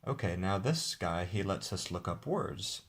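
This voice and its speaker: A man, the game's designer, walking viewers through how to play his card game in a relaxed, explanatory way. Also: low-pitched, a little slow. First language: English. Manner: relaxed, explanatory, with occasional restarts. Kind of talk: casual tabletop game demonstration.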